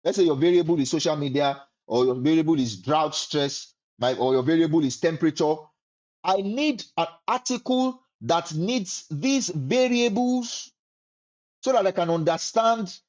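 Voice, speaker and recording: A man giving casual, relaxed advice on a live stream and repeating phrases for emphasis. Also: fairly high pitch, dull audio. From Nigeria. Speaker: host answering research questions.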